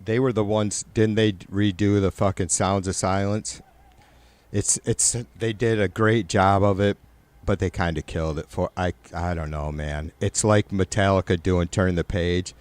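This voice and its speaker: A middle-aged man with a nasal, raspy voice, hosting a livestream and chatting loosely with viewers, rambling and swearing. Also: deep voice, steady tone, unhurried, darkish tone. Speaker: livestream host. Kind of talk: casual chat with live viewers.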